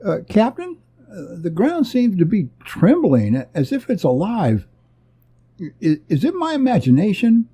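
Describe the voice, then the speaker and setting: A man's voice: high-pitched, huge pitch swings, unhurried, mellow, slightly rough, voice pushed up, full character voice. A man voicing an anxious, hesitant spaceship crewman who stammers in an adventure story. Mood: puzzled, uneasy.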